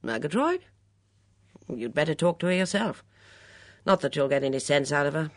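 A woman reader acts out a brusque, commanding countrywoman in an audiobook. She is blunt and loud, with clipped, no-nonsense phrasing. Her pitch moves around boldly in a close, dry recording. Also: lively intonation, moderate pace, no echo. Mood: brusque, matter-of-fact. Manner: blunt, bellowing, clipped upper-class slang.

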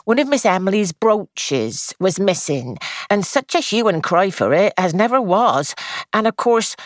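A woman's voice: mid-range voice, dry recording, studio quality, pitched above the performer's own voice. A woman voicing a young, anxious housemaid who rambles on in breathless, deferential gossip.

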